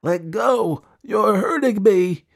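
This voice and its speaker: Give the storybook a little elephant child, played by a man, pitched up well above an adult's talk. The youngster is earnest and curious, with painstakingly courteous, wordy, pleading manners. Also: lively intonation, normal speed, gravelly, darkish tone. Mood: pained, nasal. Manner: courteous, long-winded, pleading.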